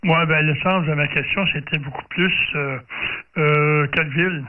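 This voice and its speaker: A man chatting casually as an amateur radio operator over the air, haltingly, repeating words as he goes. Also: on the high side, steady tone, a little hiss or hum. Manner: halting, repeats words, clears throat often. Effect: radio transmission. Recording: distant, thin narrow-band radio audio.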